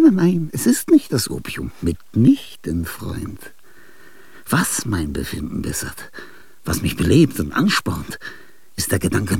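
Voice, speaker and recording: An actor in a radio drama playing an ailing, aging male genius, restless and grandiose. He speaks confidingly in a husky, gravelly voice, with sudden outbursts and whispers. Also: lowish voice, no room sound, heavily acted. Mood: excited. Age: older. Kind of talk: theatrical radio drama monologue.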